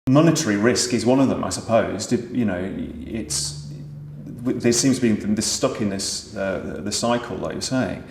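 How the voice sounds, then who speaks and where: A man's voice: on the low side, moderate pace, gravelly, a little mellow. A man answering interview questions about pantomime, hesitant with many restarts. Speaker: pantomime writer and director. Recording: echoey room with faint noise.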